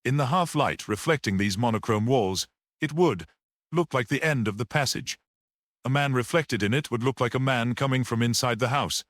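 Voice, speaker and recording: A man's voice: medium pitch, fairly bright tone, dry recording, clean studio sound, natural voice. Man as a mild, grave priest-detective. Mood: grave, musing. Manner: grave, measured, unruffled, almost dreamy. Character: mild, perceptive priest-detective, calmly reasoning.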